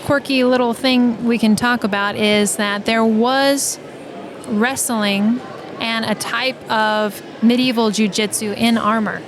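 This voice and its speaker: A woman's voice: steady tone, takes it slowly, crowd noise. A woman chatting casually as a podcast guest, with a teasing, joking manner. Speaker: podcast guest, creator of a fantasy fiction podcast. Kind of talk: casual chat with playful banter. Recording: noisy event floor, background rumble.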